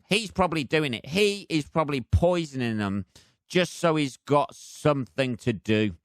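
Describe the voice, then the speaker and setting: A man's voice: medium pitch, fairly bright tone, clear, resonant tone, dry recording, studio quality. A British man hosting a solo comedy podcast, ranting casually in a sweary, sardonic, rambling way. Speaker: podcast host.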